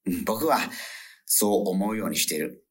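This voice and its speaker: A man's voice: fairly low pitch, lively intonation, moderate pace, breathy edge. A man playing a self-pitying, evasive male radio host with a theatrical manner in a comic radio drama. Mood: airy, evasive. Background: background music.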